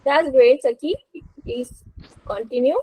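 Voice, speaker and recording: High-pitched woman teaching English online. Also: slow pace, warm tone, slight background noise. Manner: encouraging, prompting participants. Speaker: English teacher hosting a webinar. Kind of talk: spoken English lesson to a group. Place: online video call.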